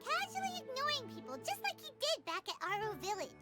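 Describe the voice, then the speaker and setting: A woman voicing a tiny chirpy female companion creature in a game, with a squeaky, whiny delivery. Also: sing-song, brisk, long reverb tail. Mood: teasing. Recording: game audio with loud music.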